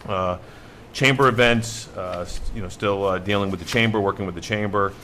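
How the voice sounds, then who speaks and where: A man with an American accent and a deep voice gives an informal report at a board meeting. He speaks in halting, rambling phrases, with faint noise in the room. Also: bright, forceful tone. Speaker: town board member. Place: indoor town board meeting.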